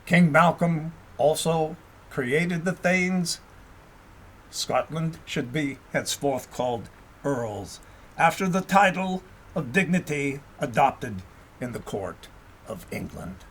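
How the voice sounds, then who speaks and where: Animated, higher-pitched male storyteller. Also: takes it slowly, a little hiss or hum. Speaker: audiobook narrator and host. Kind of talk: expressive storybook-style narration of history.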